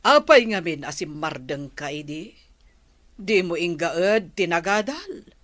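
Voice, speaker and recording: A woman voicing a caring but strict old grandmother in a radio drama, slow and scolding yet tender. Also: lowish voice, sing-song, a bit bright, no room sound. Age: older. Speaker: grandmother character. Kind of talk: Ilocano radio drama acting.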